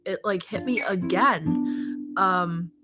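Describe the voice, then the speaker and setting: A woman co-hosting a casual live stream, hoarse and losing her voice, chatty and laughing often. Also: fairly low pitch, takes it slowly, loud music behind the voice.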